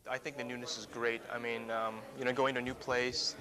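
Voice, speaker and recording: A young man rattles on quickly about going off to university, with a relaxed, rambling style. His pitch barely moves, and a loud crowd murmurs behind him. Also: poor audio. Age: young adult. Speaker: prospective student. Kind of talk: casual interview.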